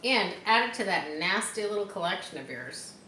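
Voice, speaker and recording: A woman voicing a brisk, forthright, no-nonsense mother goose in a storybook. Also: mid-range voice, expressive, pushed and bright, echoey room, slight background noise, low-quality recording. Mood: dismissive.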